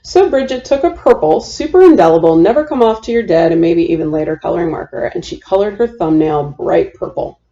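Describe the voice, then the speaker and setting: Female storytime reader, mellow with some gravel, deliberate children's-book cadence, slightly dull lo-fi recording. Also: lowish voice, expressive. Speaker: storytime host. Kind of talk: children's picture book read-aloud.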